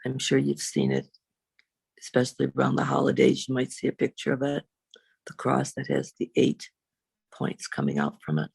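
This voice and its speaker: A woman reverend leading a guided meditation in a slow, soothing, unhurried way, with long pauses. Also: big pitch swings, a little mellow, no echo. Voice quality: low, husky, creaky.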